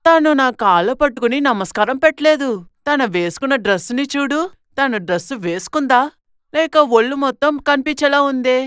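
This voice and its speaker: A woman plays a gossipy older visitor in a cartoon moral tale. She sounds high and crisp, passing judgment with open disapproval. The recording is dry. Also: expressive, brisk, a bit bright, no echo. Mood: disapproving. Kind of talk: moral story cartoon dialogue.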